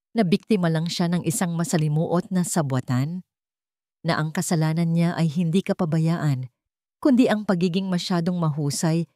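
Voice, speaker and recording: Female narrator for dramatic fiction: low register, dry studio-clean sound, measured phrasing with weighty pauses. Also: dark tone, no echo. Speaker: storyteller. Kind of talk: dramatic scripted story narration.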